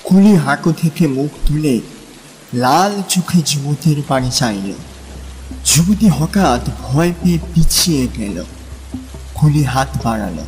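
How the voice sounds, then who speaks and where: A man narrating a literary story in a measured, dramatic style. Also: on the high side, lively intonation, darkish tone. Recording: long reverb, music and rain behind.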